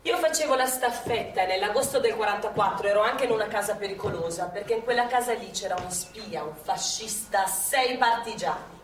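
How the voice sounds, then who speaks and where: An actress playing a brisk, knowing elderly former partisan courier, telling stories with a teasing edge. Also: female voice, medium pitch, a little hiss or hum, lightly acted. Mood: grim. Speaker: stage actress. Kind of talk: stage play acting. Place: theatre stage. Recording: roomy theatre stage from far off.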